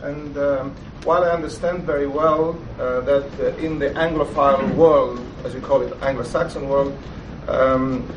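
A Romanian man poses a halting question from the audience, slightly high-pitched and full of pauses. His voice carries from a distance through a reverberant hall. Steady background noise sits under it. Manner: hesitant, many fillers. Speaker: audience member asking a question. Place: lecture hall.

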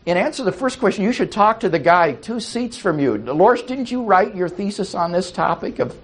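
A man's voice: high-pitched, sing-song, a little fast. A man answering audience questions after a talk, thoughtful and explanatory. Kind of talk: lecture Q&A answers.

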